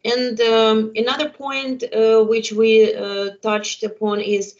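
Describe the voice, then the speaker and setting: A woman's voice: a little high, level tone, slow. 30s woman running a webinar panel, lots of uhs, muffled laptop mic audio. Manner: hesitant, many fillers, non-native phrasing. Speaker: webinar moderator. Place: home room with window, indoors. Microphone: laptop webcam video call. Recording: dull lo-fi video call audio.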